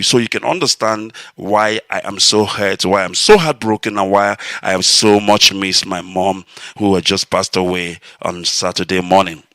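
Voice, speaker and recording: A man, an Igbo speaker, telling a personal story on a live broadcast in an animated, emotional way. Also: no room sound. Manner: animated, emotional, swinging high when excited. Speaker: radio broadcast host.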